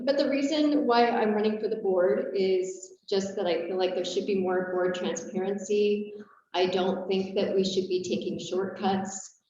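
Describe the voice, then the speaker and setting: A woman in her forties giving a brisk, self-assured board candidacy statement over a video call, with frequent filler words. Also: lowish voice, darkish tone, a little hiss or hum. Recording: muffled, roomy, distant call audio.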